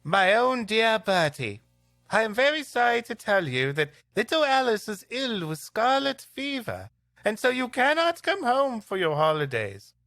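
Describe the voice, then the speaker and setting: A genteel, apologetic storybook mother, played by a man. The voice is high and bright, with a tender, formal letter-reading delivery. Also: big pitch swings, a little slow, vocal fry, dry recording, faint music behind, heavily acted. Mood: gently apologetic. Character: genteel apologetic mother writing to her dear son.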